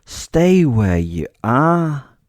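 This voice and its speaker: A man's voice: fairly low pitch, expressive, moderate pace, darkish tone, dry recording, studio quality. A man voicing a sadistic vigilante who gloats and monologues in a pompous, mocking, menacing way, as in an audio story. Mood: commanding menace.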